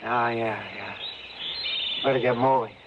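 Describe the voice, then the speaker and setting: A man's voice: mid-range voice, steady tone, measured pace, lightly acted. An actor playing a protective man leading lost companions, firm and reassuring, in an old time radio drama. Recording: muffled old radio broadcast, heavy noise.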